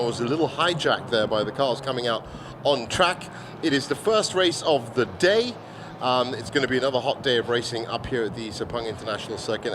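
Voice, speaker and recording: A man with a slightly hoarse voice giving chatty, enthusiastic live motorsport commentary. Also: medium pitch. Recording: loud engine and outdoor circuit noise. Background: cars and trackside ambience.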